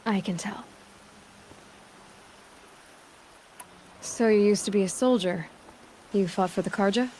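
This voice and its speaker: An actress playing a determined, guarded young hunter in a video game, speaking directly and firmly. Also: female voice, mid-range voice, moderate pace, warm tone, a little hiss or hum, natural voice, rough recording. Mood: wary, dry. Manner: direct, questioning, firm. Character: determined young female hunter, guarded outlander, blunt and impatient.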